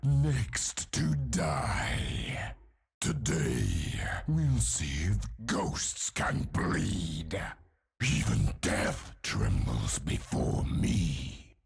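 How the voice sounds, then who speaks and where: A hulking undead brute from a video game, slow and menacing, with a very gravelly, husky growl. He is enraged and bloodthirsty, and his delivery swings wildly from low threats to roars. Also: very animated, exaggerated voice. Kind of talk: video game character voice lines.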